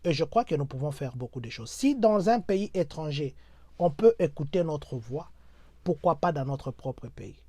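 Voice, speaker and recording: A man with a Congolese French accent speaks to his viewers in a mellow, slightly high voice, building his points through repeated rhetorical phrases and dropping into Lingala at times. The sound is dry and close to the mic. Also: expressive, normal speed, dry recording. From Democratic Republic of the Congo. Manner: repetitive rhetorical, mixes in Lingala. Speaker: host of a diaspora channel. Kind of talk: exhortative address to viewers.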